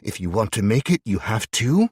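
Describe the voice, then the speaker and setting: A hard, vengeful young woman, as a male narrator puts her on, pushing relentlessly for a kill. Her voice is husky and swings widely in pitch. It sits in a dry, close audiobook sound. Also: very animated, normal speed, darkish tone, no echo. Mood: cold, insistent.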